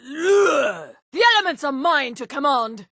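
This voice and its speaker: An actress playing a proud, haughty high elf woman in a fantasy video game, speaking with crisp, condescending articulation. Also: sing-song, slow pace, fairly bright tone, no echo, clean studio sound, exaggerated voice. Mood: imperious, menacing. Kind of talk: scripted video game voice lines.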